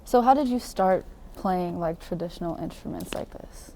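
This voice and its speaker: Mellow-voiced woman interviewer. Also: lowish voice, normal speed, slight background noise. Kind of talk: casual interview questions.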